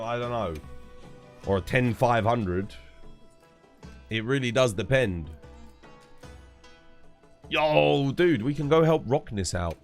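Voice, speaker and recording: A man, stuffed up with a cold, casually chatting and answering viewers while streaming a game. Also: mid-range voice. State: congested, stuffed-up nose. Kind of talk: casual live-stream chat.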